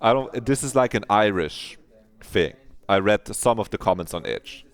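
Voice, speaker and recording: A man's voice: on the low side, animated, moderate pace, smooth. A man streaming a visual novel, musing aloud in casual commentary that trails off.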